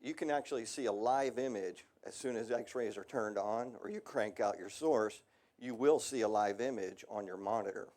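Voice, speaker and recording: A man's voice: fairly low pitch, normal speed, darkish tone. A middle-aged man giving a casual technical seminar talk on industrial radiography, with a slightly worn voice and frequent ums. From United States.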